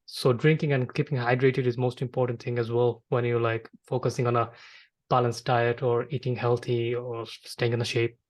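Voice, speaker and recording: Man from Bangladesh, halting and even-toned. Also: mid-range voice. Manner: halting, repetitive phrasing with frequent filler "like". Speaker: podcast host. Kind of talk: casual interview chat.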